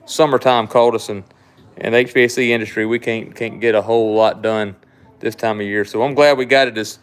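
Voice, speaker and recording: Southern male shop host, Mississippi accent, smooth, rambling, casual live chat. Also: fairly low pitch, fairly even intonation, a little fast. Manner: rambling, repeats words, reads viewer comments. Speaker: livestream host, knife shop owner.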